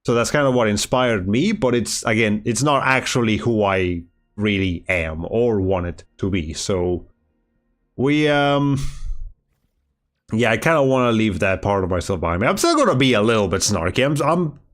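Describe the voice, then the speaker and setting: Man, lowish voice, slightly nasal from a cold, soft-spoken, halting, many fillers, reflective casual monologue. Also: expressive. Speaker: streamer.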